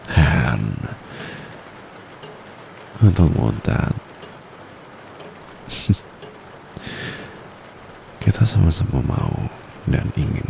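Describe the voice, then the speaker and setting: A man with an Indonesian accent playing a seductive, dominant private tutor in an intimate ASMR roleplay, murmuring and whispering smugly close to the microphone. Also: heavily acted. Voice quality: very deep, gravelly, breathy murmur. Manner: slow, teasing, drawn-out delivery slipping into whispers. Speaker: amateur voice actor. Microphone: close condenser microphone. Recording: close mic with clear background noise.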